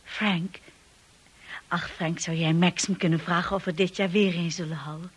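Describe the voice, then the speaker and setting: An actress in a Dutch radio drama playing an insecure, earnest young wife who speaks in a hesitant, confiding way, often hushed. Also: female voice, on the low side, steady tone, normal speed, slightly rough. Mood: hesitant, probing.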